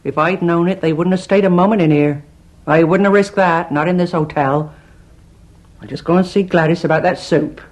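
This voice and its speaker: An actress playing a stern, pious, prudish old widow who keeps an inn, with a curt, disapproving manner. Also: female voice, lowish voice, normal speed, darkish tone, lightly acted. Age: older. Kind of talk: stage farce radio acting.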